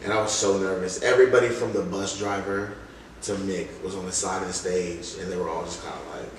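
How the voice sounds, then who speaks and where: A man's voice: deep voice, fairly even intonation, a little fast. A man telling stories casually in an interview as a rap artist, with informal slangy phrasing and quoted asides. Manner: informal slang, often quoting others and punctuating with "like" and "you know". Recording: very echoey room, distant mic, loud noise.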